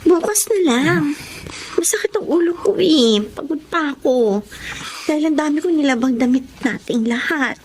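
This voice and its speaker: An actress playing a meek, weary teenage wife in a radio drama, plaintive and submissive. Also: female voice, high-pitched, animated, moderate pace, darkish tone, a little hiss or hum. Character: meek, weary nineteen-year-old young wife.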